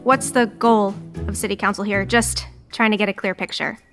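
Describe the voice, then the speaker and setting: An actress playing a polite, hesitant nonprofit teacher in a naturalistic audio drama, courteous and tentative. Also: female voice, mid-range voice, steady tone, moderate pace, fairly bright tone, faint music behind, lightly acted. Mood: cautious. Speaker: actor in a scripted audio drama.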